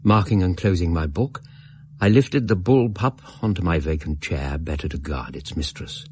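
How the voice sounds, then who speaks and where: Man playing deep-voiced English gentleman narrator. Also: a little hiss or hum, natural voice. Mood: calm, reminiscing. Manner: measured, literary, storytelling. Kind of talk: scripted radio drama narration.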